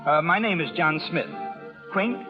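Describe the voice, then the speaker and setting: An actor playing a brash, playful, wisecracking flirt with a cheeky, theatrical manner in 1930s radio drama style. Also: male voice, a little high, a little slow, music in the background, lightly acted. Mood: flirtatious. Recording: muffled 1930s radio broadcast recording.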